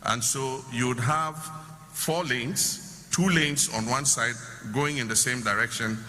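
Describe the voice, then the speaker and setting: A man, a government official, giving measured, explanatory answers at a public question session. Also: medium pitch, sharp tone. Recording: noisy hall with long reverb.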